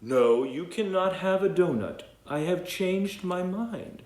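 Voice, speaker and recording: Fussy stubborn panda, a guy putting it on, slow emphatic lines, kinda high, echoey room. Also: expressive, a little mellow, slight background noise. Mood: prim. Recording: echoey room, faint ambience.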